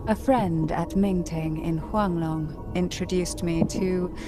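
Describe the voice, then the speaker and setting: Poised, low-voiced noblewoman. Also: fairly even intonation, over music. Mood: measured, knowing. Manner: formal, measured, eloquent. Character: poised, cultured noblewoman of secretive schemes, calm and knowing. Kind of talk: video game voice acting, scripted dialogue.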